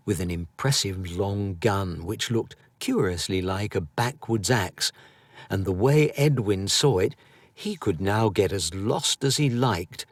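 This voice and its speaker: Male audiobook narrator, lowish voice, unhurried, theatrical delivery, dry studio sound. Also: no echo. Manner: theatrical, expressive storytelling. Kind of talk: scripted storybook narration.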